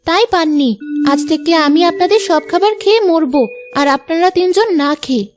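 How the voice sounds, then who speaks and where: A woman's voice: very high-pitched, a little fast, faint music behind. A woman voicing a stubborn, gluttonous young wife with a childish manner in a cartoon. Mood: defiant. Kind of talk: Bengali cartoon dialogue.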